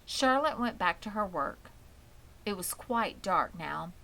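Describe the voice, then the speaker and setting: A woman's voice: mid-range voice, expressive, slow, sharp tone, low-quality recording. A woman reading a children's story aloud as scripted narration. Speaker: audiobook reader.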